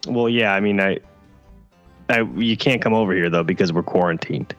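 A man playing the guest in improvised comedy banter, deadpan and matter-of-fact, congested with a cold. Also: deep voice, moderate pace, dull audio, faint music behind.

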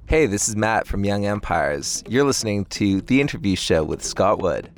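A man in his late twenties chatting casually as an interview guest, thoughtful and halting in his phrasing. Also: lowish voice, big pitch swings, faint music behind. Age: late 20s. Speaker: indie band frontman, interview guest.

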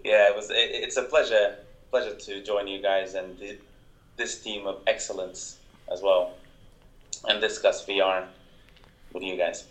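A man with a Portuguese accent, a software engineer joining a podcast over a call, talking casually with many hesitations. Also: fairly low pitch, steady tone, measured pace, pauses often, roomy sound, low-quality recording. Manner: hesitant, lots of uh and um, self-deprecating.

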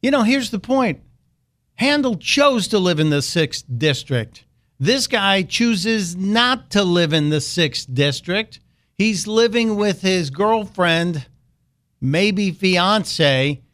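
A man's voice: high-pitched, expressive, slow pace, fairly bright tone. A man hosting a talk radio show, delivering an opinionated monologue with sarcastic, mocking asides.

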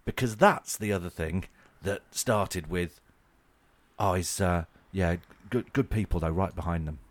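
A man's voice: low voice, unhurried. A man co-hosting a podcast in casual comic chat, with a joking, self-mocking manner. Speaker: podcast co-host.

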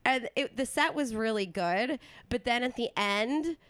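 A woman hosting a casual comedy podcast with a loud voice, often half-yelling and laughing as she talks. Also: on the high side, unhurried, no room sound. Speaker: podcast host.

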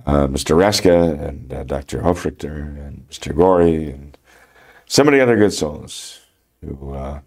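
An older man, a priest with a mild head cold, speaking slowly in a gravelly voice with many pauses and fillers. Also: a bass voice, animated, darkish tone. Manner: slow, halting, many fillers. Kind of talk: unscripted radio talk.

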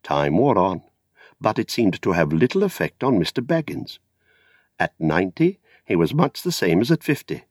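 An older British man narrating a literary story in a measured, theatrical way. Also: low voice, very animated, dry recording, clean studio sound. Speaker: audiobook narrator.

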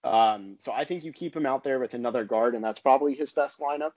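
A man's voice: medium pitch, fast talker, darkish tone, dry recording. Chatty man, dry dull recording. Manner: conversational, many fillers like "I mean" and "like". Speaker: basketball podcast co-host. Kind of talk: casual sports analysis chat. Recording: dry, slightly dull lo-fi audio.

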